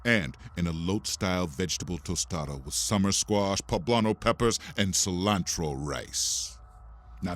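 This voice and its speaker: Male podcast ad reader, very low and creaky, husky tone, scripted sponsor read. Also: a bit bright.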